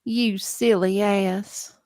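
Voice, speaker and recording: A woman voicing a tiny, sassy and devoted storybook fairy in a whispery, drawn-out, theatrical way. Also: mid-range voice, steady tone, a little mellow, dry recording, studio quality. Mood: fond scolding.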